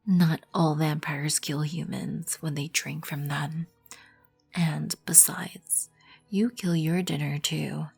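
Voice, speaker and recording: A woman performs an imperious vampire queen in an ASMR roleplay. Her voice sits a little low, breathy and husky, and she speaks very slowly and formally. She is composed and proud, protective of her colony. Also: fairly even intonation, a little mellow, heavily acted. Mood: stern, indignant. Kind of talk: ASMR roleplay, scripted second-person acting. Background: background music.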